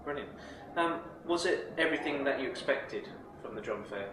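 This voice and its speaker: A man interviewing a guest at a drum fair, quick and upbeat, with short acknowledgements between the questions. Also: mid-range voice, lively intonation, far from the mic. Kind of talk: event interview. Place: busy drum fair hall. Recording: echoey hall, crowd noise.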